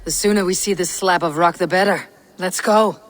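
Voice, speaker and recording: A woman's voice: lowish voice, fairly even intonation, moderate pace, lightly acted. A woman playing a blunt, skeptical Viking warrior in a video game, challenging but loyal. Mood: resolute. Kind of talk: dramatic video game acting.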